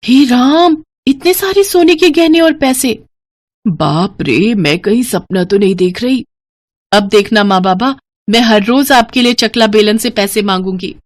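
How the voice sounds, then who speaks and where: A woman voicing a meek, dutiful young daughter-in-law in a Hindi cartoon story, polite and expressive. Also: on the high side, a little slow, dry recording, pitched above the performer's own voice. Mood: excited, hopeful.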